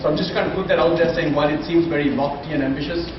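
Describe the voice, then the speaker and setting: A man moderating a conference panel, briskly framing questions with frequent tag questions. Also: fairly high pitch, fairly even intonation. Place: conference room. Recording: dull, roomy, distant, noisy.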